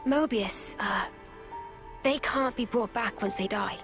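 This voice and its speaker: A woman playing a composed, gentle young heroine in a video game. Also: on the high side, slow, creaky. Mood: composed, somber. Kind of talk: dramatic video game voice acting. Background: music.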